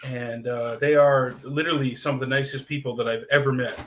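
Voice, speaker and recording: A man's voice: medium pitch, fairly even intonation, fairly quick, echoey room, slight background noise. A man co-hosting a casual podcast, rambling along with lots of fillers and restarts as he tells a story. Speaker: podcast co-host. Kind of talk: casual chat reading super chats.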